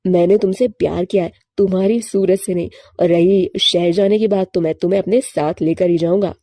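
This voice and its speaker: Woman acting young male crow, lovestruck, earnest, pleading, mellow tone, dry recording, cartoon story. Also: lowish voice, steady tone, fairly quick, no echo, voice pushed down.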